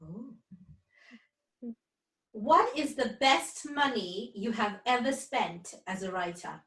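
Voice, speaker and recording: A woman's voice: on the high side, expressive, slow, fairly bright tone. A woman co-hosting an informal interview and putting questions to a guest, heard from a distance in an echoey room. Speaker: co-host.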